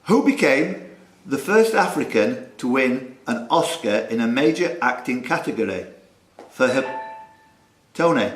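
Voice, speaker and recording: A man's voice: medium pitch, lively intonation, measured pace. A man hosting a live pub quiz, with jokey, punning asides. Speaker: quiz master. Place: social club room. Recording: roomy club hall with some noise.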